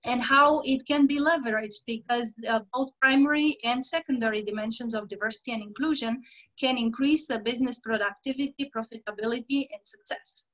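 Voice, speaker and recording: Woman, fairly high voice. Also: fairly bright tone, low-quality recording. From Romania. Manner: measured, explanatory, many fillers and pauses. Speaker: guest, diversity trainer. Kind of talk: podcast interview.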